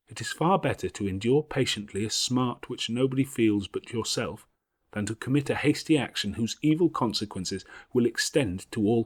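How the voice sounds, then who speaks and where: A man voicing a patient, devout, bookish older schoolgirl in an audiobook, speaking in a measured, earnest, moralising way. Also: on the low side, breathy edge, dry recording, clean studio sound. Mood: gently admonishing. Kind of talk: audiobook character voice.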